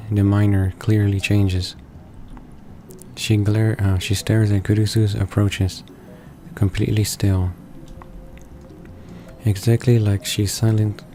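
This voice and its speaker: A man reading a visual novel's narration aloud as a let's player, halting and correcting himself. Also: fairly low pitch, mellow, a little hiss or hum. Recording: game music behind the voice. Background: visual novel background music.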